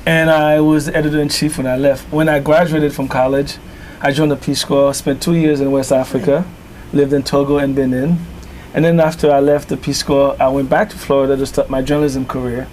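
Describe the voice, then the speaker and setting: A man from Haiti, founder of a newspaper, answering interview questions in a measured storytelling way. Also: mid-range voice, flat delivery, darkish tone, a little hiss or hum, poor audio.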